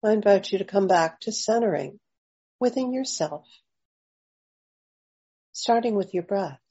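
A woman leads a guided meditation at a slow pace, with a soft-edged, mellow tone. Her phrasing is gentle and leaves long pauses. The recording is a little dull and lo-fi. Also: medium pitch, expressive, husky. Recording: slightly dull, lo-fi audio.

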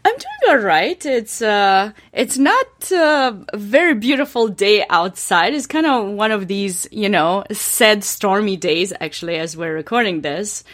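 A woman's voice: medium pitch, sing-song, unhurried, few pauses, fairly bright tone. A woman from Romania with a Romanian accent, a podcast guest speaking in a thoughtful, hesitant way with many ums. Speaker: guest, chess grandmaster and coach. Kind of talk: interview conversation.

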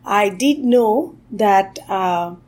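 A middle-aged woman from India answers an interviewer's questions. Her voice is a little husky, and she speaks reflectively, with fillers and restarts as she gathers her thoughts. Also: medium pitch, animated, very slow, darkish tone. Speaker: guest, tech executive.

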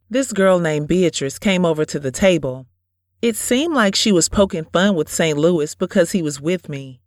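A woman playing a quiet, laid-back young daughter in an audio drama. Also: fairly low pitch, no echo, clean studio sound, lightly acted.

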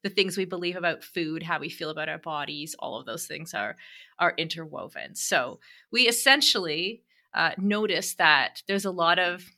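A woman in her thirties, a podcast guest talking about her business, chatty and quick to laugh. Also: lowish voice, pushed and bright. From Canada and the Middle East. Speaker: guest, media business owner. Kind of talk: casual interview chat.

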